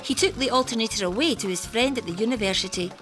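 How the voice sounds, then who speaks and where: A woman's voice: fairly high pitch, fast talker, music in the background, close to an everyday voice. A middle-aged woman playing a scatty, affectionate taxi driver in a children's TV show. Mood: recounting. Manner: exclamatory, expressive. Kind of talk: children's TV acting.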